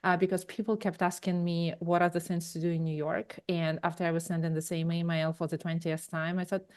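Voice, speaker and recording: A woman's voice: fairly low pitch, level tone, a little fast, a little mellow, clean tone. A woman in her forties with a Ukrainian accent, a podcast guest telling her career story in long, rambling answers full of fillers and restarts. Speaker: guest, tech company founder. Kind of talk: conversational interview answers.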